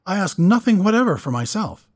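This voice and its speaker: A man's voice: sits high, big pitch swings, normal speed, voice pushed up. A man voicing a sly, dry-witted doctor who speaks in a purring, wry way. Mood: firm. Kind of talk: audiobook character voice.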